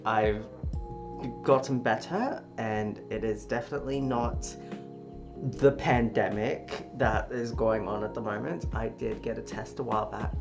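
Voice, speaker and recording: A YouTuber talking in a casual opinion vlog, a little sick and sniffly, energetic and swearing freely. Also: mid-range voice, a little slow, creaky. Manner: energetic, swearing, with frequent cheers and laughs. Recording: dull lo-fi audio with guitar music behind.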